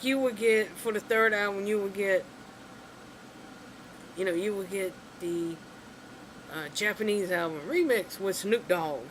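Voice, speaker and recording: A casual vlogger rambling through an exasperated rant, full of fillers and restarts. The voice sits at an ordinary middle pitch. A steady air-conditioning hum fills the noisy room behind it. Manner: rambling, exasperated, heavy on "you know" and "like". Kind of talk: casual opinion rant to camera.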